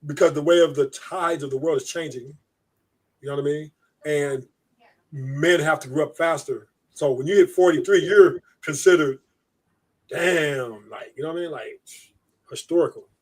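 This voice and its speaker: A blunt man talking on a relaxed live stream. He swears a lot and rambles through his points. His voice sits fairly high for a man and sounds husky and a little breathy. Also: moderate pace, rough recording. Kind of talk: casual chat on a live stream.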